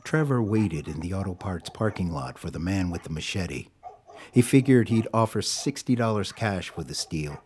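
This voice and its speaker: A man's voice: low voice, lively intonation, normal speed, darkish tone, a little hiss or hum. A man narrating a scripted audio drama as a dramatic storyteller. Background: music.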